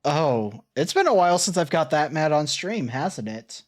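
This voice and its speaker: A woman's voice: deep voice, big pitch swings, normal speed. A woman streamer chatting casually and rambling as she reacts to her old clips.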